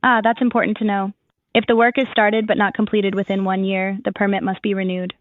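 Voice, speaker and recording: Fast phone-like female AI assistant. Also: mid-range voice, level tone, no room sound. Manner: formal, measured customer-service phrasing. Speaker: AI voice assistant for a town's services. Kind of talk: scripted, formal informational answers.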